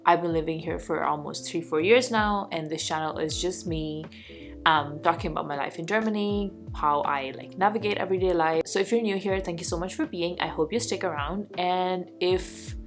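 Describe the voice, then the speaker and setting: Chatty young woman vlogger from Pakistan, lowish voice, casual and full of fillers, faint music underneath. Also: normal speed. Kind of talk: casual chat to camera.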